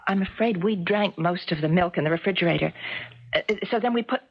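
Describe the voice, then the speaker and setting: Nervous tender lady from a 70s radio play, husky breathy voice, kinda dull old recording. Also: on the low side, brisk. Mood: apologetic. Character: anxious, tender stranded woman traveler newly in love. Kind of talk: 1970s radio drama acting.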